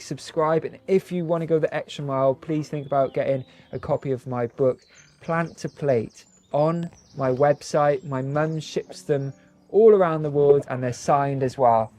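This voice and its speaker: A man's voice: on the high side, darkish tone. Earnest, heartfelt man vlogging outdoors. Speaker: homesteading vlogger. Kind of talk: reflective vlog narration and casual chat. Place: outdoors in a garden.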